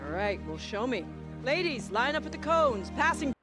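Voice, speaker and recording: A woman's voice: high voice, sing-song, moderate pace, over music. A woman acting a firm, demanding middle-aged football coach in a video game, speaking with authority. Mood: commanding. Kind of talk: motivational video game cutscene dialogue.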